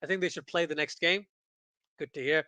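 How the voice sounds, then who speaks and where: Male livestream cricket host from Pakistan, high and bright voice, close dry sound, excitable casual chat. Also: brisk, dry recording. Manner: excitable, repeats phrases for emphasis. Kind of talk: casual watchalong chat, reading viewer comments aloud.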